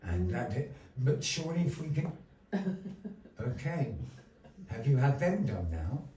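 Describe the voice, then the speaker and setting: A male master of ceremonies delivers theatrical patter with a halting, mock-flustered politeness. His pitch swoops widely and his pace is unhurried. The sound is that of a live indoor venue, picked up from some distance. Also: big pitch swings, warm tone. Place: indoor gallery performance space. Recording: roomy live venue, distant mic, faint noise.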